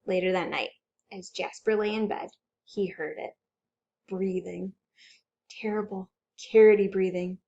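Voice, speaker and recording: A woman's voice: mid-range voice, steady tone, unhurried, pauses often, mellow, lo-fi sound. A woman working as a children's librarian, reading aloud expressively with dramatic, suspenseful delivery. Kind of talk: animated children's story read-aloud.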